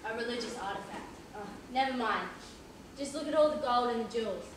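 A woman's voice: a little high, animated, a little fast, lightly acted. A woman acting a pompous, condescending male police inspector who interrogates people in an amateur stage play. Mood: pompous, patronising. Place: large theatre stage, indoors. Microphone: far audience camera. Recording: distant echoey theatre stage, noisy.

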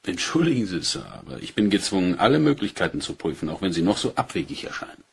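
An actor playing a polite, calculating British police inspector in a German crime radio play, with measured, insinuating deference. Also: male voice, deep voice, sing-song, creaky, slightly rough, full character voice. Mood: formal, insistent.

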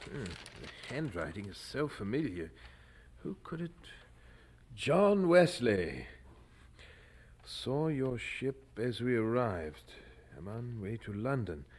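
Young chaplain: medium pitch, devout, resolute, formal diction, radio play. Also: male voice, big pitch swings, slow, long pauses, slight background noise. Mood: pondering. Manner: formal, earnest. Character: earnest, devout young male preacher, thoughtful and resolute. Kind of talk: radio drama acting.

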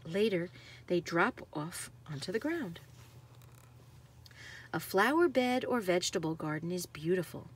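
A woman's voice: lowish voice, expressive, measured pace, long pauses, husky. A woman reading a nonfiction picture book aloud to children like a teacher, stressing key words.

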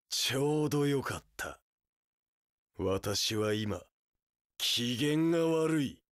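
A vengeful young samurai warlord for an action game. His voice is harsh, gravelly and very forceful. He draws his words out slowly and theatrically, seething with fanatical loyalty. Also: a little high, dry recording, studio quality, heavily acted. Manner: seething, theatrical, bursting into screams. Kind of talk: anime-style action game voice acting.

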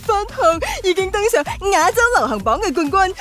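An actress playing an excitable, fawning female entertainment radio host in a radio drama. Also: very high voice, a little fast, soft background music, exaggerated voice. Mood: bubbly, fawning.

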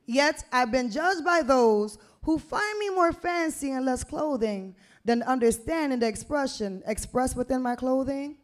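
A young woman recites spoken word poetry at an open mic. Her voice sits high for a woman, and she shapes each phrase deliberately, leaning on key words with a rhythmic emphasis. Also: lively intonation. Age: young adult. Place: small indoor venue stage with brick wall. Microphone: handheld-type vocal mic on a stand, close to the mouth.

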